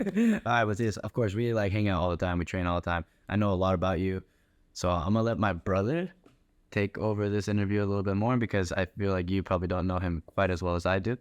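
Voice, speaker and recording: A young man hosting a casual chat in an informal, halting way. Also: sits low, no room sound. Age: young adult. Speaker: podcast host, college soccer player.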